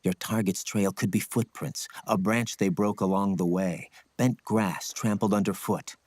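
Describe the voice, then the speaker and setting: An actor playing a stoic ninja scout mentor in a video game, speaking in a grave, measured, husky voice. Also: male voice, fairly even intonation, a little mellow, no room sound. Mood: solemn, instructive. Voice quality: low, husky. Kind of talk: dramatic video game acting.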